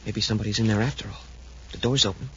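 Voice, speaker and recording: An actor playing an eager, boyish space cadet sidekick, earnest and deferential, in a 1950s radio adventure serial. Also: male voice, lowish voice, fairly even intonation, racing, a bit bright, close to an everyday voice. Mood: curious. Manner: earnest, wide-eyed, deferential. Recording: dull, noisy 1950s radio recording.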